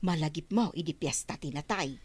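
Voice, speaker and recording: A woman's voice: deep voice, fairly quick, slightly rough, no echo. A woman acting a nosy, complaining neighbourhood gossip in an Ilocano radio drama, chatty and full of exclamations. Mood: gossipy.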